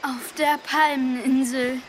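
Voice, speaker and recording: An actress playing an alarmed, excitable young adventurer in a children's audio drama. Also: female voice, high-pitched, slow pace. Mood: dismayed. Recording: noisy sound effects behind.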